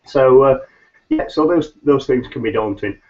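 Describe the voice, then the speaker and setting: A middle-aged man, nasal and a little hoarse from hay fever, hosting a solo business webcast in a rambling way with many ums and restarts. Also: mid-range voice, expressive, normal speed, a little mellow. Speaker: business consultant host. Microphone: webcam mic on a video call. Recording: slightly dull lo-fi webcam audio.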